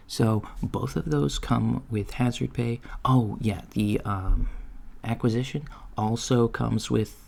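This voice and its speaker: A man playing an awkward, apologetic novice alien job interviewer in a soft, hesitant ASMR roleplay. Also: medium pitch, gravelly. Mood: matter-of-fact, apologetic. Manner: hesitant, halting, mumbling asides. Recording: lo-fi, steady noise, keyboard typing. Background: computer keyboard typing.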